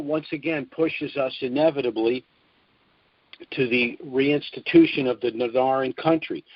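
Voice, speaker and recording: A man hosting a conference call, speaking in a measured, formal way with frequent filler pauses. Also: mid-range voice, no room sound, lo-fi sound. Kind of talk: scripted briefing on a call.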